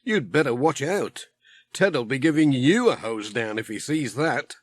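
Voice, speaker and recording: A man plays a cheerful British village postman from a children's storybook. He is chatty and good-humoured, with an animated, unhurried delivery. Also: mid-range voice, no echo. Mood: teasing.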